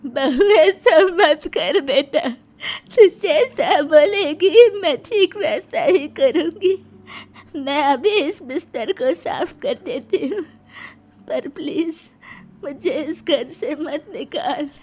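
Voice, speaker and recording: A frail old grandmother played by a woman in a family storybook tale. Her voice is high and slow, tearful and pleading, with a gentle, imploring way about her. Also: lively intonation. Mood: tearful begging.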